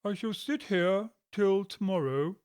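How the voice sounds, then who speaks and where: An actor playing a stubborn, unbothered frog footman who speaks slowly and pedantically. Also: high voice, clean tone. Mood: stolid. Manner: slow, flat, unbothered, pedantic. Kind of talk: audiobook drama acting.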